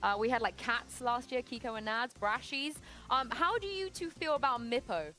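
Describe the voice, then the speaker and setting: A woman's voice: on the high side, normal speed, bright, forceful tone, faint music behind. A woman hosting a post-match show, opening with playful, teasing questions for her guests.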